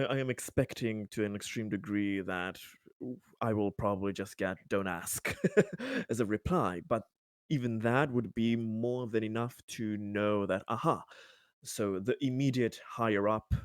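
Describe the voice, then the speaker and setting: Male tabletop player, lowish register, improvising in character; halting, courteous, formal delivery on a dry recording. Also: dry recording. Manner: hesitant, halting, polite and formal. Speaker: tabletop roleplaying game player.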